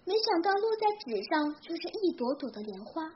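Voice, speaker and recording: A teenage girl from Hefei narrating a scripted legend in a careful, expressive recital style. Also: high-pitched, a little fast. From Hefei, Anhui, China. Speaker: young storyteller. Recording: dull lo-fi recording with clear background noise.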